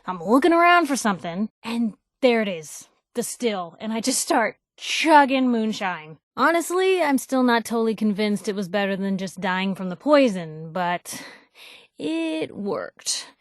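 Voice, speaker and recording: An actress playing a plucky, principled young woman reporter in a video game, speaking in a candid, wry, expressive, slangy way. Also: fairly high pitch, measured pace, no room sound, clean studio sound. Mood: animated storytelling. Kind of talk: video game companion dialogue, conversational storytelling.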